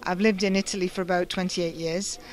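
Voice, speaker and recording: A middle-aged British woman translator, speaking thoughtfully in an interview and pausing often with fillers. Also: on the low side, flat delivery, low-quality recording. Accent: British English. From United Kingdom. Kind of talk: conference interview and personal story.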